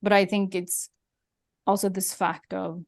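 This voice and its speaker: A woman's voice: mid-range voice, flat delivery, measured pace, vocal fry. A young woman born and raised in Sweden, talking casually as a podcast co-host, full of "like" fillers and quoted remarks. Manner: chatty, quotes others in a lifted voice, many "like" fillers. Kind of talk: casual chat.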